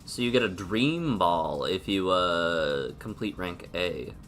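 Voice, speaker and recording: A sniffly man with a sore throat talks slowly, with plenty of pauses and hums as he thinks aloud. His voice sits a little low but sounds bright and forceful. Faint game music plays behind him. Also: animated. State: stuffy and sniffly. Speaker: streamer. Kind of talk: casual gameplay commentary.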